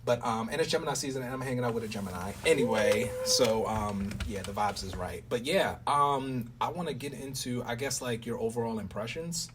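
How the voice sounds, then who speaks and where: A man's voice: mid-range voice, fairly quick, few pauses, a loud crowd. A man hosting a casual interview in slangy, effusive, thankful speech. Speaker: interviewer and radio play creator. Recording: distant mic, faint background noise.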